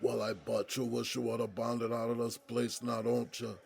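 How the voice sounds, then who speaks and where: A man playing a good-humored, folksy prison inmate in an audio drama, with a gravelly, husky voice and colloquial drawl. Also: mid-range voice, completely flat delivery, moderate pace, a little mellow, a little hiss or hum. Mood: curious, teasing. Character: folksy, good-humored male jailhouse buddy named Smokey.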